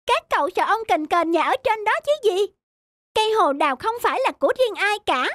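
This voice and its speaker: A woman voicing a small, mischievous young squirrel in a cartoon, with a childish, excitable way of talking. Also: very high voice, fairly quick, no echo, studio quality. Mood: anxious, eager. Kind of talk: cartoon voice acting. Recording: faint music behind.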